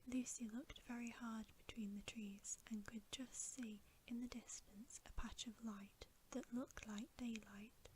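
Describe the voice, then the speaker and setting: A woman narrating a bedtime story as ASMR in a gentle, breathy, hushed whisper. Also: fairly high pitch, steady tone, measured pace, hardly any pauses, a little hiss or hum. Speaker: ASMR reader.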